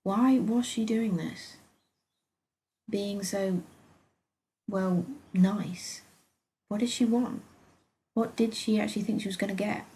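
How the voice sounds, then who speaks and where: A woman's voice: medium pitch, steady tone, slow, pauses often, mellow, poor audio. A British woman voicing a petulant, sarcastic young female hospital patient in a dramatic first-person story reading, exasperated and huffy. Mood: sarcastic, indignant.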